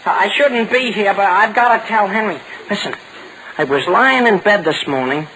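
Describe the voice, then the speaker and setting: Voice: mid-range voice, expressive, normal speed, pushed and bright. An actor playing an eager, muddled teenage boy who blurts things out in a 1940s radio sitcom, on a noisy vintage broadcast. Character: excitable, muddled teenage boy, the son's pal.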